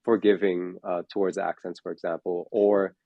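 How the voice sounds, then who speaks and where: A man's voice: low-pitched, little pitch movement, moderate pace, vocal fry, no echo, muffled recording. A man in his forties, a fitness coach, talking in a casual chat, rambling with lots of fillers and restarts. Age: 40s.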